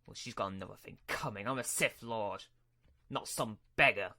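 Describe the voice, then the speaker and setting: A man's voice: on the low side, moderate pace, bright, forceful tone, no echo, studio quality. A man acting as an arrogant, menacing Sith master in a sci-fi roleplay, with a threatening, imperious manner. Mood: indignant, boastful. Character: arrogant, hot-tempered Sith lord master, menacing and domineering.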